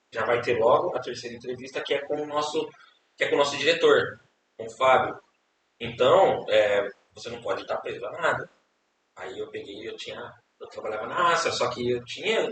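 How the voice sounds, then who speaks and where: A young Brazilian man, a podcast guest, telling his life story in a casual, rambling way, repeating words and tagging questions. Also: mid-range voice. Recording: echoey room, distant mic, hiss.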